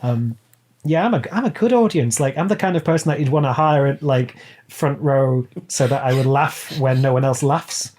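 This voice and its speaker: A man's voice: medium pitch, moderate pace, a little mellow. A British man chatting casually as a podcast guest, playful and performative in his banter. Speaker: podcast guest, audio drama producer. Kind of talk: casual chat. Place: remote online call.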